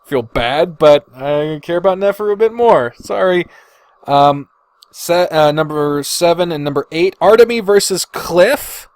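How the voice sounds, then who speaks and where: A man's voice: fairly high pitch, animated, measured pace, no echo. A man hosting a podcast in casual unscripted chat, hesitant as he weighs his choices and given to swearing. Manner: hesitant deliberating, sighing, frequent swearing. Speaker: podcast host. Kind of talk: casual unscripted chat, ranking fictional characters.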